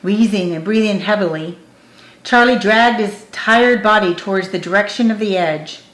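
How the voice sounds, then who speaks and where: A woman's voice: medium pitch, normal speed, a bit bright. A woman reading a scripted story aloud as an audiobook narrator. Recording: roomy, echoing room.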